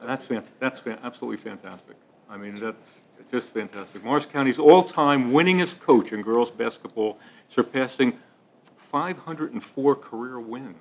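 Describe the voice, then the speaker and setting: Joking mayor with a dull lo-fi sound. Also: male voice, mid-range voice, vocal fry. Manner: halting, many fillers, joking. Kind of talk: township meeting remarks. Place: township meeting room. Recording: dull lo-fi meeting video.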